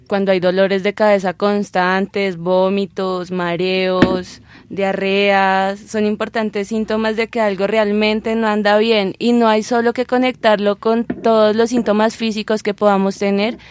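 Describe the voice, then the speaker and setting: A woman calmly explaining a topic, with many small fillers. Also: mid-range voice, measured pace. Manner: calm, explanatory, with fillers like "pues". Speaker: presenter. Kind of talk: informational talk.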